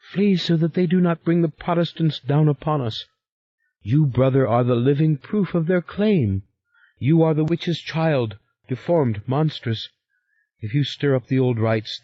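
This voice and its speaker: A man's voice: medium pitch, dry recording, voice pushed up, close to an everyday voice. A man voicing a bitter, fierce young woman who accuses her brother with impassioned scorn. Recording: slightly muffled recording.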